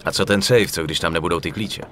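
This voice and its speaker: An actor playing a tough, laconic young crook in a dubbed game, streetwise and out for paid work. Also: male voice, sits low, fairly bright tone. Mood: cautious. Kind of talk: dubbed video game cutscene acting.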